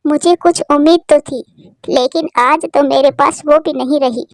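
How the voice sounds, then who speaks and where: A woman voicing a gentle, sorrowful, self-sacrificing female bird wife in a cartoon, speaking in an earnest, emotional way. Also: high-pitched, fairly even intonation, moderate pace, no echo, clean studio sound. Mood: tearful, despairing. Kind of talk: Hindi cartoon voice acting.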